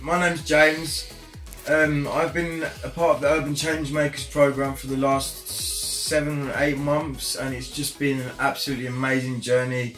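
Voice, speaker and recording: A young man giving an enthusiastic testimonial about a programme, halting with frequent restarts. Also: medium pitch, flat delivery. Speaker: programme participant. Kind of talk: unscripted testimonial. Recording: roomy echo with faint background music.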